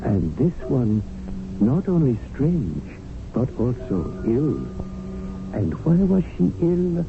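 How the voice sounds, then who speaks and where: Wry, deferential servant-narrator, tinny radio. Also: male voice, mid-range voice, very animated, vocal fry, over music, exaggerated voice. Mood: wry, musing. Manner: formal, flowery, measured phrasing. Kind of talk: 1970s radio drama narration and acting. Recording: noisy narrow-band old radio broadcast.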